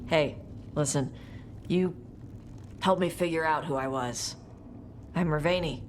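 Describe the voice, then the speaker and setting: Blunt, deep-voiced warrior woman. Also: measured pace, a little hiss or hum. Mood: heartfelt. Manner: blunt, short sincere phrases. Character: blunt, brave young female dragon hunter warrior. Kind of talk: video game character acting.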